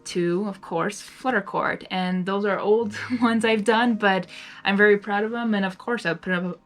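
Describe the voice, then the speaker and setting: A woman hosting and narrating a live story reading in an expressive, giggly manner, reacting aloud as she goes. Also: medium pitch, normal speed, faint music behind. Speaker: fan fiction reader and host.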